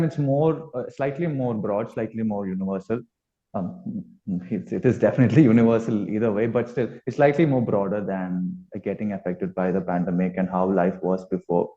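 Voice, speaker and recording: Slightly muffled, lo-fi audio carries a man with an Indian accent. His voice is on the low side, and he chats casually as a guest musician in an interview. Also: dark tone. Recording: slightly dull, lo-fi call audio.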